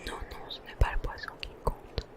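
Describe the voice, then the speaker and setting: A host whispering soft, gentle, chatty commentary over a video game in ASMR style. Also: moderate pace. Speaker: host of a relaxation gaming channel.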